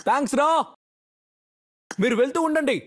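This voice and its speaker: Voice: high-pitched, moderate pace, a bit bright. A man voicing a game teammate in short alert callouts, with a Telugu Indian accent.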